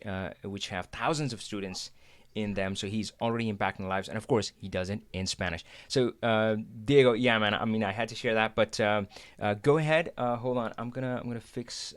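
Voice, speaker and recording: A man's voice: fairly low pitch, fairly bright tone, smooth, no room sound. A man hosting a live video stream over a video call, upbeat and rambling with many fillers and restarts. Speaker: host.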